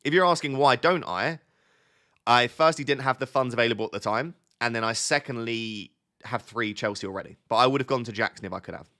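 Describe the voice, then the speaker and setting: Male stream host, a little sniffly from a cold, middle-pitched, chatty and quick to restart his lines. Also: bright, forceful tone. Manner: chatty, thinks aloud, restarts sentences often. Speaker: livestream host answering viewer chat. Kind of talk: casual live-stream chat.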